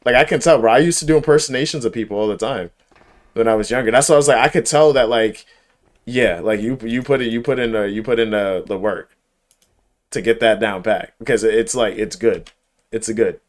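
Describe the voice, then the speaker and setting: A man's voice: mid-range voice, a little fast. A man livestreaming a game and chatting casually, with slangy, profane, emphatic speech and a habit of repeating himself. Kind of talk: casual chat while gaming.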